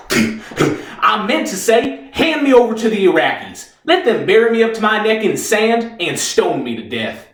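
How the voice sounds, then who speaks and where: Man acting a defiant, high-strung young soldier, echoey room. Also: very animated, a little slow, fairly bright tone, exaggerated voice. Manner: cocky, agitated, emphatic. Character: young Texas army private, defiant, unstable, bitter. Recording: echoey room, distant microphone.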